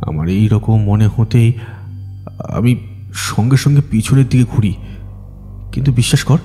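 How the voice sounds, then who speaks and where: Horror audio story: frightened villager played by a man, deep voice, halting suspenseful delivery, long reverb. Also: warm tone, faint music behind, natural voice. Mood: fearful, suspenseful. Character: frightened village man recounting a night of ghostly terror.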